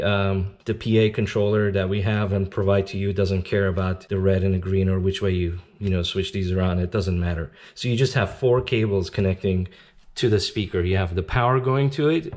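Low-voiced man giving an install tutorial. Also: a little mellow. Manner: plain step-by-step explaining. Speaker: company representative presenting an install tutorial. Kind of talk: informal product demonstration and instructional explanation. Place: indoors at a tabletop demonstration bench.

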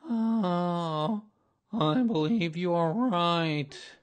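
A man voicing a gloomy, self-pitying male donkey in a children's storybook, with a mournful, drawn-out, resigned delivery. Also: medium pitch, very animated, exaggerated voice. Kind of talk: children's storybook character reading.

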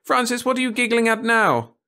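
A man's voice: very high-pitched, expressive, moderate pace, bright, forceful tone, voice pushed up, heavily acted. A man voicing a strict, fussy, complacent woman schoolteacher in a storybook reading, scolding and primly authoritative. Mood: annoyed, scolding. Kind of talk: storybook audiobook character voice.

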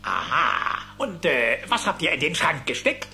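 Blustery old rich duck uncle, cartoon audio play, sharp voice jumping all over the place. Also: male voice, on the high side, very animated, unhurried, creaky, faint music behind, heavily acted. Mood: suspicious. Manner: blustering, theatrical. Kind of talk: cartoon radio-play acting.

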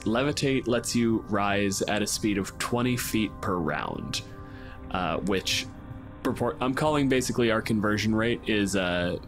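A man's voice: on the low side, expressive, a little slow, soft background music. A man acting as game master, casually narrating a tabletop game with a sweary, teasing, informal manner.

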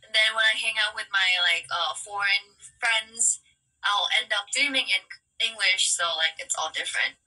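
A young woman chatting casually as a guest on a live video call, talking quickly and filling her talk with "like" and "um", her first language being Thai. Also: medium pitch, pushed and bright. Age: young adult. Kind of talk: casual chat. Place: indoor room, live stream video call. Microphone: phone or laptop video call. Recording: distant lo-fi stream audio.

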